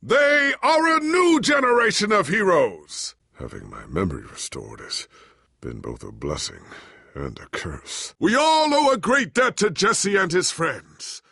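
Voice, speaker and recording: An actor playing a proud but anxious, forgetful legendary warrior in a video game, with an earnest, formal, theatrical delivery. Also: male voice, high-pitched, very animated, a little slow, gravelly, bright, forceful tone, no echo, studio quality, exaggerated voice. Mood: proud, declaiming, then confessional, regretful. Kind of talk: dramatic video game voice acting.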